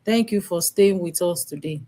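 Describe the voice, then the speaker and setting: A woman's voice: lowish voice, normal speed, warm tone. A woman with a Nigerian accent co-hosting a live session, introducing herself formally to viewers. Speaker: co-host, mentor.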